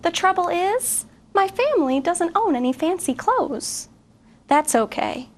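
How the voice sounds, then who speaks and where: High-voiced woman reading to children. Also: a little slow. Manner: expressive, questions to young listeners. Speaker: guest reader, teacher. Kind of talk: reading a picture book aloud to children.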